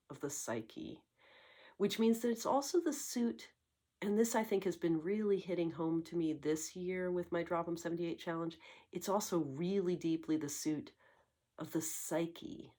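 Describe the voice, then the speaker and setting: Low-voiced lady a bit stuffed up from a cold, rambling thoughtfully on her tarot channel, lots of ums. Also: a little mellow. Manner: musing, searching for words, many fillers. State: congested from a cold. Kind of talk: reflective spoken monologue.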